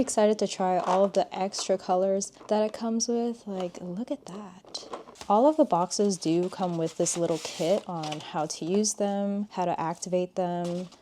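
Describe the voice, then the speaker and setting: A young woman with a low-key, monotone voice, calmly chatting while she reviews art markers. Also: noisy background. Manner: calm, unhurried, says "like" often. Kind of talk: casual product review chat.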